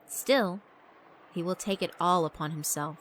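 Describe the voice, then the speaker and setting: A woman narrating a horror visual novel in a measured, dramatic storytelling manner. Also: on the low side, slight background noise, poor audio.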